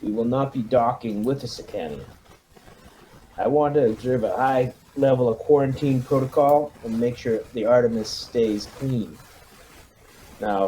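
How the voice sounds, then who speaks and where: Mellow-voiced man giving a military briefing. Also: medium pitch, a little hiss or hum, poor audio. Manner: military jargon and brisk command phrasing. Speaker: player leading a military squad in a tabletop roleplaying game. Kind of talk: mission briefing in an online tabletop roleplaying game.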